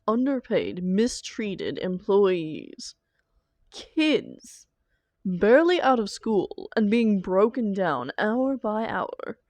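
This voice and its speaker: A woman playing a doting, defensive supervillain lover in an intimate roleplay audio drama, coaxing theatrically with endearments and dramatic pauses. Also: mid-range voice, a little mellow, no room sound.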